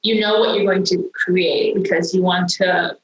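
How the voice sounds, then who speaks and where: A young woman, a software engineer and guest, explaining things in a casual interview with frequent "um" fillers; her home language is Hindi. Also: on the low side, steady tone, moderate pace, echoey room, poor audio. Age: young adult.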